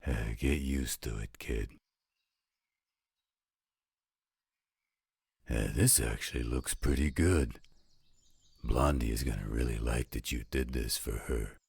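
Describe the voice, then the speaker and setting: A man voicing a gruff, patient older werewolf stepfather in a scripted roleplay audio drama. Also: a bass voice, darkish tone, no echo, exaggerated voice. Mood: fatherly, approving. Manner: gruff, dry, unhurried.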